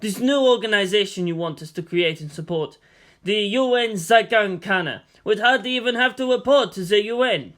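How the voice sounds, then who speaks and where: A woman's voice: mid-range voice, expressive, normal speed, lightly acted. A woman reading the part of an indignant male diplomat, heated and accusing. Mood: outraged.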